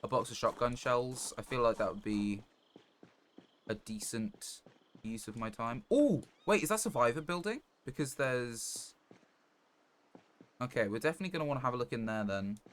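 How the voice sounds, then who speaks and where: A man's voice: mid-range voice, normal speed, pauses often, fairly bright tone. A man doing casual live gameplay commentary, thinking aloud in short fragments as he plays a survival game. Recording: clear background noise, clicking.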